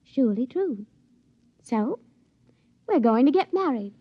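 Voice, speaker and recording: A woman's voice: high voice, very animated, unhurried, breathy edge, no room sound, full character voice. An actress playing a young, slyly confident country woman in love, with rustic folksy speech, in a 1950s radio drama. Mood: sweetly assured. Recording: muffled vintage radio recording.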